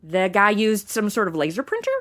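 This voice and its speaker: A woman playing an irreverent, slangy American sidekick in a comedy audio drama, expressive and flippant. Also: medium pitch, brisk, natural voice. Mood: curious.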